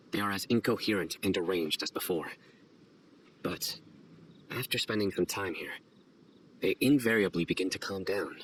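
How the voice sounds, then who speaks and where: Low-voiced, stern male investigator. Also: a bit bright, a little hiss or hum, low-quality recording. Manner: measured, formal, deliberate. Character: stern, guarded male investigator, young adult, formal and measured. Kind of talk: scripted video game dialogue.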